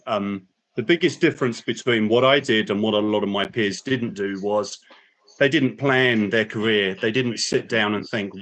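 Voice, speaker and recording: A man's voice: fairly low pitch, low-quality recording. A man speaking as a guest at a career meetup, reflective, with many restarts and fillers. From not from New Zealand. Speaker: guest speaker, business analyst. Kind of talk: casual panel talk. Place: New Zealand.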